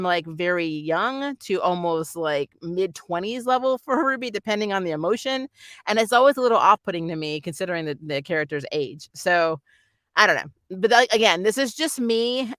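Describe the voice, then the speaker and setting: A woman's voice: mid-range voice, expressive, moderate pace, fairly bright tone, dry recording, studio quality. A woman hosting a casual, rambling review vlog, talking informally and with animation to her viewers as she shares her opinions. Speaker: anime reviewer and vlog host.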